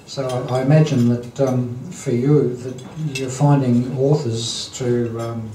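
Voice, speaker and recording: Hesitant man clearing his throat. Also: medium pitch, fairly even intonation, slow, warm tone, a little hiss or hum. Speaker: event host introducing a speaker. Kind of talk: halting formal introduction at a lunch. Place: dining hall at a lunch event. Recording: roomy hall, distant mic, clattering dishes.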